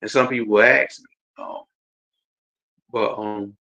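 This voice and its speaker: Male, circa 40, New Jersey accent, lower register, informal rambling host delivery, slightly dull streamed sound. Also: huge pitch swings, measured pace, vocal fry, sharp tone. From Jersey City, New Jersey. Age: around 40. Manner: informal, rambling, repeats himself. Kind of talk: casual autobiographical livestream chat.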